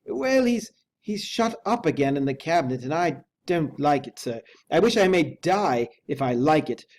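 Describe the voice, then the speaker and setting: A man voicing a frightened, dogged butler who speaks haltingly and deferentially in a hoarse, strained voice, as in an audiobook. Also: on the high side, expressive, a little mellow, voice pushed up. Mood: anxious, halting. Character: frightened, dogged, servile old-fashioned butler.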